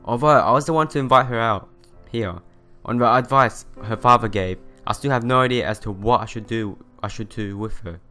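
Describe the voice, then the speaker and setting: A man hosting a let's play, reading visual novel narration aloud in an expressive storytelling manner. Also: medium pitch, brisk. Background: background music.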